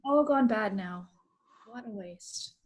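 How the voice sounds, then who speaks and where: A woman's voice: medium pitch, animated, takes it slowly. Hurt, accusing young woman played by an actress. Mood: hurt, bitter. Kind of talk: staged play reading.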